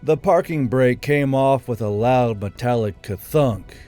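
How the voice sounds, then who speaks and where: A man's voice: medium pitch, a little slow, mellow. A man reading scripted fantasy fiction as an audiobook narrator. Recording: music behind the voice. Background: background music.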